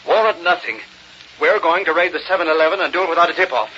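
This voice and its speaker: A man's voice: fairly high pitch, pushed and bright. An actor playing a dazed but determined, indignant middle-aged American man in an old radio drama. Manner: halting, muttered fragments turning to firm orders. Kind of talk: 1930s-40s radio drama acting. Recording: dull, noisy old radio recording.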